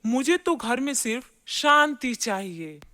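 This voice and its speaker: A woman's voice: fairly high pitch, expressive, a little slow, bright, forceful tone. A woman voicing a firm, decisive mother-in-law in a cartoon family drama. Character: firm mother-in-law demanding peace at home.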